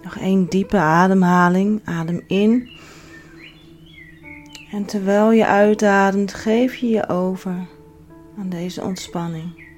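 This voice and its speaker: A woman leading a guided relaxation as a yoga instructor, giving soothing, measured instructions with pauses. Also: mid-range voice, steady tone, a little mellow. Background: background music.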